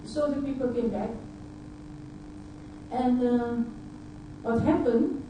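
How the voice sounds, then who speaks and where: A woman whose first language is Dutch, from Suriname, giving a halting personal talk at a conference, often repeating words and filling pauses with uh. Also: a little high, fairly even intonation, background noise. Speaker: conference speaker. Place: large conference hall. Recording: echoey hall, voice picked up from far off.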